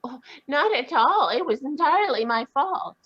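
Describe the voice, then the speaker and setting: A woman voicing a brisk, independent, sharp-tongued spinster in a live radio drama, with spirited, indignant retorts. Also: high-pitched, animated. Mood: flustered. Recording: dull lo-fi video call audio.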